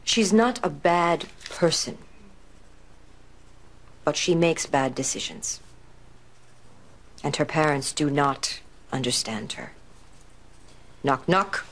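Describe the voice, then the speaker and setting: A woman's voice: low-pitched, animated, takes it slowly, pauses often, full character voice. An actress playing a strong-willed, principled woman who writes a 1930s radio serial, speaking firmly and dryly in a period drama. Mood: dictating, absorbed. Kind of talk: period television drama acting.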